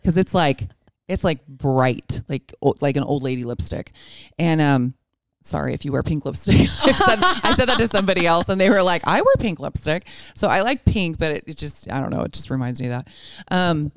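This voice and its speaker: A woman's voice: deep voice, big pitch swings, fairly quick, no echo. A woman in her forties chatting casually and candidly, with a self-deprecating way of talking. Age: 40s. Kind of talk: casual chat.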